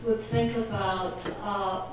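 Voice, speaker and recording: A woman professor asking a presenter questions from the audience, halting and careful. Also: mid-range voice, fairly even intonation. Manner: careful, halting phrasing with many "uh"s. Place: conference lecture room. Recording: distant voice in an echoey hall with noise.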